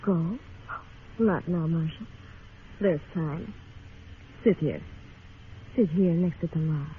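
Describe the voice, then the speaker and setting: A woman's voice: low voice, slow pace. A seductive frontier schemer in a radio western. She speaks, sultry and coaxing. The vintage recording is thin and tinny, with a little noise. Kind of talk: old-time radio western acting.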